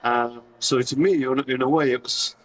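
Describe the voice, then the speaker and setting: A hoarse middle-aged man, losing his voice, giving an informal live talk to an audience, self-deprecating and full of fillers and restarts. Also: mid-range voice, fairly even intonation, normal speed, distant mic, soft background music, rough recording. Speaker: conference speaker. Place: convention hall stage.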